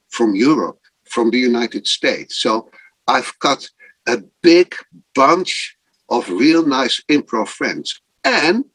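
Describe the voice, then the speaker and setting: Slow, thoughtful man on a video call. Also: mid-range voice, fairly bright tone, dry recording, low-quality recording. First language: not German. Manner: slow, thoughtful, pausing often. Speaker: guest improviser. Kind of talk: casual interview over video call.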